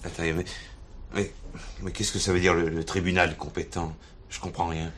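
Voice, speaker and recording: An actor playing an embattled, blunt police inspector in a French radio play, colloquial and agitated. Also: male voice, deep voice, level tone, moderate pace, a bit bright, slight background noise. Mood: stunned, indignant. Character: embattled, blunt male police inspector, loyal to his boss.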